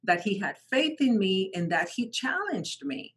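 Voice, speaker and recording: A woman's voice: medium pitch, unhurried, husky. A middle-aged woman from Mexico whose first language is Spanish, telling her story as an interview guest, often restarting and repeating words. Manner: frequent restarts and repeated words, animated quoting of others. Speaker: guest, translation company founder.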